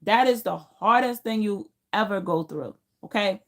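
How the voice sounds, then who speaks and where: A woman's voice: mid-range voice, normal speed. A woman around thirty from Haiti, a non-native English speaker who learned it as a teenager, tells personal stories to a live stream in an informal, emphatic way, repeating phrases. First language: not English, learned it as a teenager. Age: late 20s to early 30s. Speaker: livestream host.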